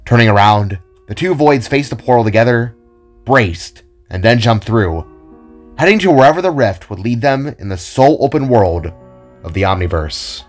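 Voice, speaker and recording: A man's voice: mid-range voice, lively intonation, normal speed. A man reading a scripted fantasy story as narrator in a dramatic style, with faint music behind.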